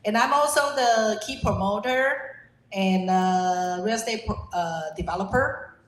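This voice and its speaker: A woman, a real estate developer, introducing herself at a meeting in halting, filler-filled speech. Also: mid-range voice. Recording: echoey room, faint noise.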